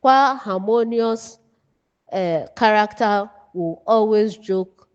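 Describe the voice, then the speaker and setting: A woman with a Ghanaian accent giving an instructional lecture as a writing teacher, in deliberate, emphatic, measured phrases. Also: mid-range voice, clean tone. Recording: dull, muffled, long room reverb.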